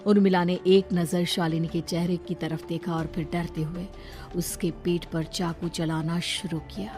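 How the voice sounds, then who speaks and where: Low-voiced woman narrating horror. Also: little pitch movement, normal speed, music in the background. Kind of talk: scripted horror story narration.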